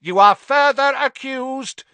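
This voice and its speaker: A man voicing a stern, hate-filled older magical judge in an audiobook, delivering loud, formal pronouncements. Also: medium pitch, sing-song, a little slow, dry recording, pitched above the performer's own voice. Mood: bellowing severity. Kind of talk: dramatised audiobook character voice.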